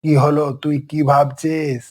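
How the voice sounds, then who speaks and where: A man's voice: a little high, little pitch movement, measured pace, close to an everyday voice. A man voicing an inquisitive, authoritative tiger uncle in a cartoon story. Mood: curious.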